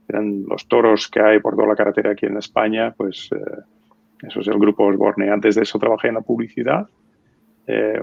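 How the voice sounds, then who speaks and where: A man in his fifties from the States speaks in a low, very gravelly voice, telling stories at an easy pace with lots of fillers. The sound is dry and dull, like lo-fi audio from a remote call. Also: no room sound. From United States. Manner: relaxed storytelling with many fillers and restarts. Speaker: guest. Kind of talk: conversational interview.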